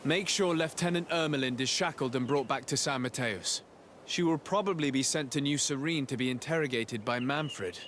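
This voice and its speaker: An actor playing a composed, decisive diplomatic envoy in a video game, speaking in a formal, measured way. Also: male voice, medium pitch, fairly bright tone, a little hiss or hum, lightly acted. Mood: composed, firm. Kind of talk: scripted video game acting.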